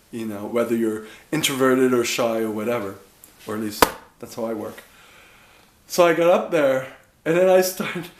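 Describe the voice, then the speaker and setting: A man in his thirties talking casually to a camera at home in a dark room, chatty and rambling, often cutting himself off mid-sentence. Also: mid-range voice, echoey room. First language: English. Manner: rambling, chatty, self-interrupting. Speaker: vlogger.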